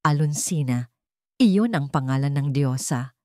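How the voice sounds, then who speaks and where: An anxious young woman of an audio drama, acted out by a female storyteller. She is urgent and determined, with a hushed edge, and her pitch leaps around in a dry, close sound. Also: animated, measured pace, no room sound. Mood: hushed wonder. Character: anxious, determined young woman, a general's daughter, piecing clues together.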